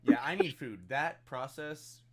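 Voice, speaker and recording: A man playing a tabletop game, bantering in character casually and comically, often thinking aloud in halting phrases. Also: on the high side, a crowd in the background.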